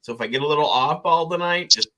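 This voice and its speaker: A man's voice: a little high, fast, pushed and bright, low-quality recording. A man hosting a casual chat, weary after staying up all night, loose-talking and easily amazed. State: weary from no sleep. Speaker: podcast host.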